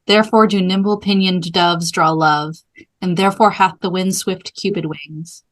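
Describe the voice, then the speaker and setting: A woman reading the part of an impatient, pleading, lovestruck young girl from a Shakespeare play in measured verse. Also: fairly low pitch, steady tone, close to an everyday voice. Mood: impatient, yearning. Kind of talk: Shakespeare play read aloud.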